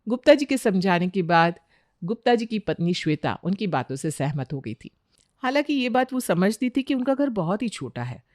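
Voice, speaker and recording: A woman's voice: mid-range voice, very animated, normal speed, a little mellow, no echo, clean studio sound. A woman with an Indian accent giving scripted narration of a family moral story.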